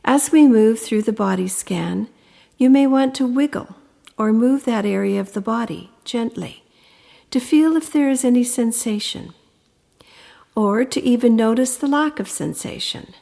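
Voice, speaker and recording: A woman narrating a guided meditation in a gentle, soothing, instructive manner with pauses, her voice turning breathy at the ends of phrases. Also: a little high.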